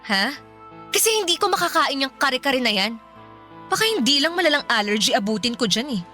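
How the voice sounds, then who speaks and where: An actress playing a blunt, snobbish young girlfriend with a bratty, sharp-tongued manner in a Filipino radio drama. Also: sits high, big pitch swings, a little fast, faint music behind, full character voice. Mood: disdainful.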